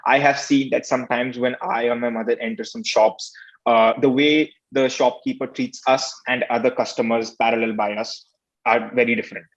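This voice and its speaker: A polite teenage man from India asks formal interview questions, stopping often on "uh". His voice is at a middling pitch. The recording sounds dull and lo-fi. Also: fairly even intonation, moderate pace. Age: teens. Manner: polite, formal, with many "uh" fillers. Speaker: student interviewer.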